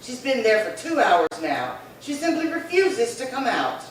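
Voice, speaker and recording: A woman's voice: fairly high pitch, wildly swooping pitch, measured pace. A woman playing an indignant, bossy sister in an old-time radio crime drama, scolding emphatically and repeating herself. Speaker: actor. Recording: very echoey room, distant mic, faint noise.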